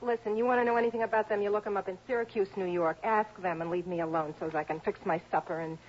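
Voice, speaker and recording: A woman's voice: mid-range voice, steady tone, racing, slightly rough, lightly acted. An actress playing a brash, defensive working-class woman, snappy, slangy and combative, in 1960s radio drama style. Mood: combative, scornful. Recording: dull old radio recording with noise.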